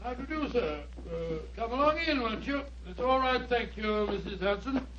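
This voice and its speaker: Genial British sidekick, high voice, stammering, repeats himself, dull 1940s radio mystery recording. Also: male voice, expressive, moderate pace, background noise. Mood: polite, bustling.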